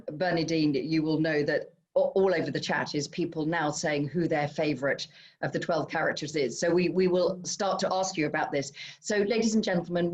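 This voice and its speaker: A woman's voice: low-pitched, darkish tone, muffled recording. A British woman hosting a live online literary conversation, effusive and welcoming as she chairs it over a video call. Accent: British English. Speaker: event host chairing author discussion.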